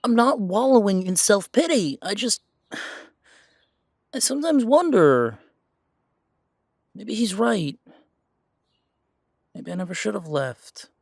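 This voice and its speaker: A man playing an insecure, defensive werewolf in an audio roleplay, speaking in a halting, stammering way as if confiding in someone close. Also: high voice, lively intonation, vocal fry, darkish tone, dry recording, full character voice. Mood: regretful. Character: insecure pure-blood male werewolf, self-doubting, defensive. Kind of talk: audio roleplay drama, one side of an intimate conversation.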